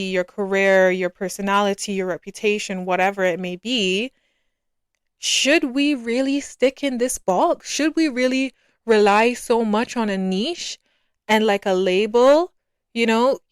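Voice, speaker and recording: Young woman podcaster with a clear, smooth voice. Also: medium pitch, dry recording, studio quality. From Barbados. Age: early twenties. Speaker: podcast host. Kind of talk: casual reflective solo podcast talk.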